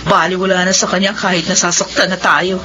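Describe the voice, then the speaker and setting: A woman playing a grave older sister in a radio drama, measured in her speech. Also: on the low side, level tone, over music, lightly acted. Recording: background noise.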